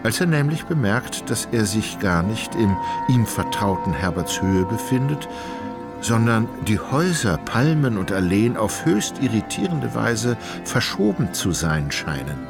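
Low-voiced male narrator over loud music. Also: sing-song, measured pace, vocal fry. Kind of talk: literary scripted narration in a radio play. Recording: loud music and background effects.

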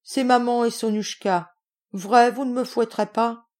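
A woman's voice: fairly high pitch, fairly even intonation, measured pace, a little mellow, pitched above the performer's own voice, natural voice. A woman voicing a frightened, tearful little boy with a pleading, childlike manner in a storybook. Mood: timid, hopeful.

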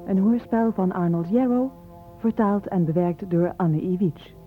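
A woman's voice: medium pitch, measured pace, soft background music. A woman announcer presenting the title and credits of a radio play. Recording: dull old radio recording.

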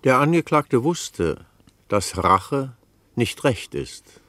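An actor playing a stern court official in a radio play, making formal declarative statements. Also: male voice, medium pitch, sing-song, takes it slowly, fairly bright tone, no echo. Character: stern male court official stating the accused's case. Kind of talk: scripted radio play narration.

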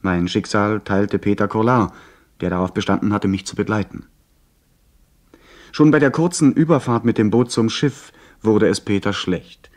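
An actor playing an earnest young gentleman who narrates his adventure in a measured, literary way for a radio play. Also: on the low side, natural voice. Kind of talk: scripted first-person radio play narration.

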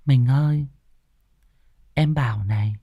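A man voicing a timid young village wife who stammers softly. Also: mid-range voice, slow pace, no echo, studio quality. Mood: shy. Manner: faltering, stammering and soft.

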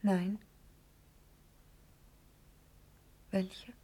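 An actress playing a devoted, passionate young noblewoman in a classical German verse radio drama, emotional, declamatory and breathy. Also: medium pitch, flat delivery, drawn-out words, warm tone. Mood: urging, tender.

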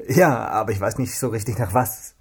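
A man's voice: fairly low pitch, slightly rough. A man playing an eager, naive young police interpreter in a radio crime drama, earnest and a little hesitant. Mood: playful, curious.

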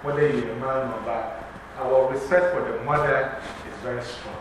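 A man's voice: medium pitch, fairly even intonation, moderate pace. Man from Africa teaching a drum class. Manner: earnest, repetitive, leads the class in call-and-response. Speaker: drumming and dance teacher. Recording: very echoey room, distant mic, background noise.

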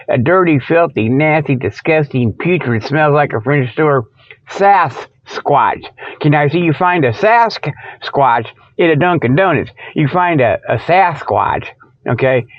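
A man's voice: a little high, no room sound, highs cut off. A man hosting a radio show with a rambling comedic monologue, folksy and stammering, wandering off topic and chuckling at his own jokes.

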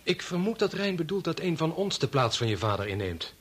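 A man's voice: fairly high pitch, big pitch swings, fairly quick, breathy edge. An actor in a Dutch radio play, playing a supportive male research colleague who is polite and formal. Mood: respectful.

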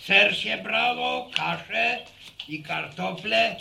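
A man's voice: high-pitched, takes it slowly, gravelly, sharp tone, slight background noise, heavily acted. An actor playing a jovial old village grandfather in a radio drama, chuckling and rambling through sayings and tales. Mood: folksy, amused. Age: older. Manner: chuckling, folksy, rambling storyteller. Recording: roomy echo.

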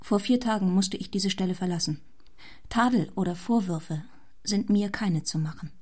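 Actress as guarded, proud young governess. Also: female voice, medium pitch, normal speed, a little mellow, natural voice. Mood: resolute, reserved. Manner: reserved, formal, composed. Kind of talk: German radio play acting.

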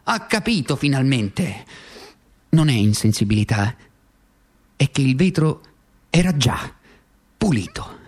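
A man's voice: medium pitch, sing-song, unhurried, pauses often. A man performing a radio theatre monologue as a worn-out, bitter and ironic former communist, declaiming with dramatic swings. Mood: wry nostalgia.

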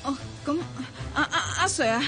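A high, faltering young woman's voice acted by a woman in a Cantonese radio drama, Hong Kong accent. She sounds sincere and anxious, with faint music behind her. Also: moderate pace, close to an everyday voice. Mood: anxious, confused. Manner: hesitant, faltering.

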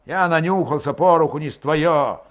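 A man voicing a proud, quick-tempered young Cossack father and war veteran in an audiobook, gruff and curt in rustic dialect. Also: on the high side, voice pushed up, natural voice. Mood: curt, resentful. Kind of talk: audiobook character voice.

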